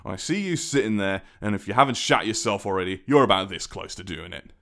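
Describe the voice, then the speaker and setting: A man's voice: fairly low pitch, animated, fairly quick, creaky, a bit bright. A man playing a menacing, mocking British crime boss in an audio roleplay, with a taunting, conversational way of threatening. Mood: taunting menace. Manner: taunting, theatrical, conversational threats with sardonic chuckles. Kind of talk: dramatic audio roleplay monologue.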